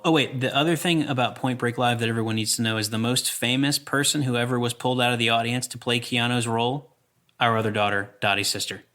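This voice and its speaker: A man's voice: mid-range voice, steady tone, fast talker, sharp tone. A man co-hosting a movie podcast, telling stories in a slangy, conversational and casual way.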